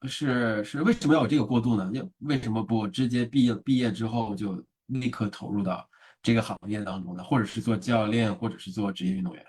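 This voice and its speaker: Fast-talking husky male host. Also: mid-range voice, a little mellow. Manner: stammers and repeats words, asks long questions. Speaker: podcast host. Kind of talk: casual interview chat.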